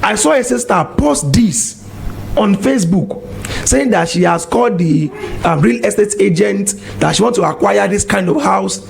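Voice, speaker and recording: A man preaches in a high, strongly gravelly voice. He exhorts his listeners with one rhetorical question after another. A faint hiss of noise sits under the recording. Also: a little mellow. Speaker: religious commentator. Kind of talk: preaching monologue.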